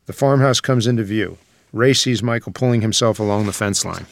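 A man's voice: lowish voice, fairly quick, gravelly. A man reading out screenplay scene headings and stage directions as a scripted narrator.

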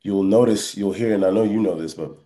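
Very low-voiced man, reflective and halting. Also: warm tone. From born in France, lived in Canada and Texas, Congolese family. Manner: reflective, halting, often checks the listener follows. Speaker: hip hop musician, interview guest. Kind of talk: casual podcast interview.

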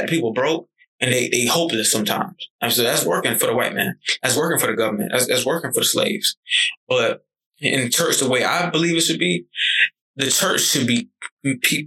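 A man's voice: mid-range voice, sharp tone, poor audio. A young man in his twenties talking casually and animatedly on a podcast, swearing freely as he tells stories. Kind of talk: casual conversation.

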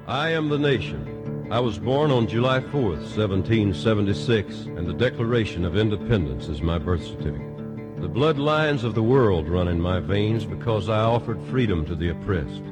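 Deep male voice, solemn declamation, patriotic recitation, loud music bed. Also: animated, moderate pace.

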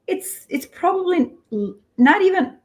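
A woman's voice: extremely high pitch, lively intonation, unhurried. A woman from Albania with an Albanian accent, talking casually as an interview guest, playful and emphatic, often repeating a phrase.

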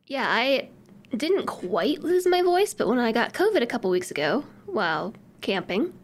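A woman streamer chatting on a podcast, upbeat and quick to laugh. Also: on the high side, sing-song. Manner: giggly, upbeat. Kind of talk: casual chat.

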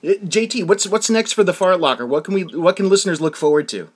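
A man's voice: high-pitched, expressive, fast talker, breathy edge. A man interviewing a podcast host in a casual, earnest way, often stammering and restarting his sentences.

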